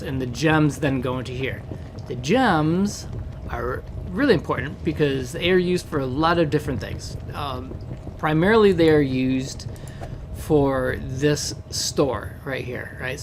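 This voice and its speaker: A man with a hoarse voice from a cold, casually walking viewers through the game he developed, rambling with many fillers. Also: mid-range voice, a little hiss or hum.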